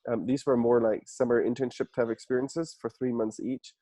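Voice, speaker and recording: A man's voice: mid-range voice, steady tone, moderate pace, dark tone, no room sound. A man in his thirties from Germany with a German accent, answering interview questions in an earnest, reflective way with frequent restarts. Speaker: guest entrepreneur.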